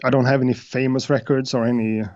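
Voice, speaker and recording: A man from Sweden with a Swedish accent, a guest beatmaker chatting casually in an interview over a video call, often pausing with uh and um. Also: medium pitch, little pitch movement, a little mellow, muffled recording. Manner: hesitant, many uhs and restarts.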